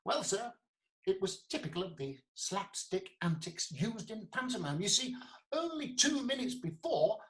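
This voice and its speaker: A woman's voice: on the low side, poor audio. A woman playing an earnest prosecuting lawyer in a comic courtroom radio play, formally and theatrically recounting a case. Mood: grave. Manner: formal, storytelling, deferential.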